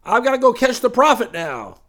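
A man doing a high wheedling preacher. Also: very animated, normal speed, a bit bright, full character voice. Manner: high sugary pleading voice, Southern drawl. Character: fast-talking oily male radio preacher, wheedling.

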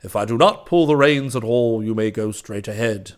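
A man's voice: lowish voice, moderate pace, smooth, lightly acted. A man doing a merry eager toy maker. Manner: hearty and cheerful. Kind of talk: storybook reading.

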